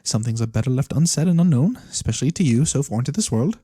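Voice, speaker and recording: A performer voicing a mysterious, courteous fantasy lorekeeper in a soft-spoken ASMR roleplay, breathy and theatrical with knowing pauses. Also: medium pitch, lively intonation, no room sound, clean studio sound. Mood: ominous, reluctant. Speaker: storyteller character.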